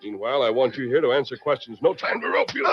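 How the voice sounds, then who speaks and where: An actor playing a resolute masked cowboy hero in an old-time radio serial, authoritative and decisive. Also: male voice, mid-range voice, fast talker, faint music behind, natural voice. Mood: brisk command. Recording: dull, very noisy vintage radio.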